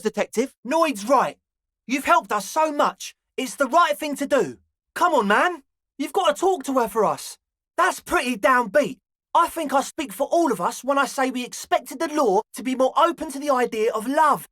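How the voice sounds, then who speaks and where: A friendly young male club promoter from a video game, speaks in a very high voice that swoops all over. He is excitable and earnest, gushing in slangy club-kid talk. The sound is close and dry. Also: normal speed, no echo. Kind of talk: video game character dialogue.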